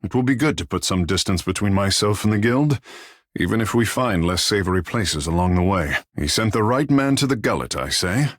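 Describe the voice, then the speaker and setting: Husky, swooping flamboyant godlike artist. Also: male voice, sits low, dry recording, studio quality, full character voice. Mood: confident. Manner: theatrical, florid, self-admiring, playful. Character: flamboyant, vain, flirtatious godlike sea-blessed male artist. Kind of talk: video game character dialogue.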